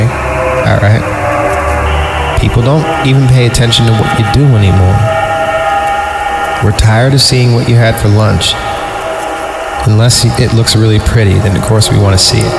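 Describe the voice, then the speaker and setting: A man with a very gravelly voice hosts a creepy story podcast, reading casually and breaking off for wry, mock-dramatic commentary. The room can be heard around his voice, and music plays loudly behind him. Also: medium pitch. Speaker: podcast host. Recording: roomy sound with loud music behind. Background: loud music.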